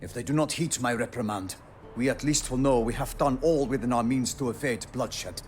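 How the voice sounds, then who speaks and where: Noble knight errant for a fantasy game, male actor: solemn, chivalrous, archaic oratory, mid-pitched, faint music underneath. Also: normal speed, lightly acted. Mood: solemn, earnest. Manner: formal, archaic, oratorical phrasing. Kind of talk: video game voice acting.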